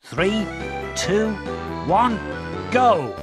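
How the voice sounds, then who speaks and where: A man narrating a children's game in an expressive storybook style, telling the story in a measured way and giving prompts to young players, over music. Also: high-pitched. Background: background music.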